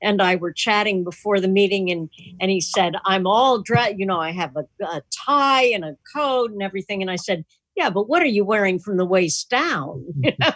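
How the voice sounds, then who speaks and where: A woman's voice: medium pitch, lively intonation, normal speed, few pauses, fairly bright tone, no room sound, poor audio. A woman chatting casually and jokingly as the host of a club's video call, telling little anecdotes. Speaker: host of an online club meeting. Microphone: computer mic on a video call.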